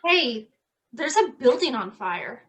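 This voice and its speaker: A woman playing a confident, teasing costumed crimefighter in a fan-made drama, with a brisk, assertive manner. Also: sits high, sing-song, fairly bright tone, full character voice. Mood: cool, playful. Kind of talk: fan-made dramatic voice acting over video call.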